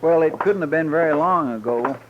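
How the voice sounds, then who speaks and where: An actor playing a simple, loyal, anxious deputy sidekick in a 1950s radio western, folksy and deferential. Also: male voice, on the high side, fairly quick, lightly acted. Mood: earnest. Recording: muffled noisy old radio recording.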